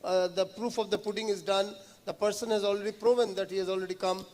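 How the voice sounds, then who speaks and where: A man's voice: high voice, flat delivery, fast talker, a little mellow. A man with an Indian accent moderating a panel discussion, asking long questions and switching between languages. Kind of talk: moderating a panel in mixed Hindi and English.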